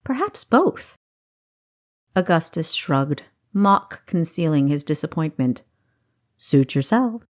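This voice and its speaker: A woman reading a fantasy story as scripted narration, with an expressive, measured delivery. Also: deep voice. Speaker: audiobook narrator.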